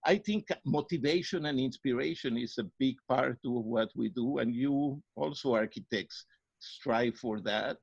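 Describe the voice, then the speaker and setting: A man's voice: mid-range voice, unhurried, gravelly, husky, no room sound. A middle-aged man from Mexico with a Mexican accent, answering interview questions earnestly and often restarting his words. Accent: Mexican Spanish. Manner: earnest, searching for words, repeats himself. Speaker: psychologist guest. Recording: dry, dull lo-fi sound.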